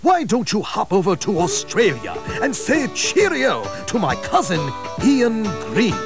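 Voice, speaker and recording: A man voicing a jolly, upper-class English crayon gentleman with an English accent and an exaggerated, plummy delivery. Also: high voice, wildly swooping pitch, measured pace, over music, heavily acted.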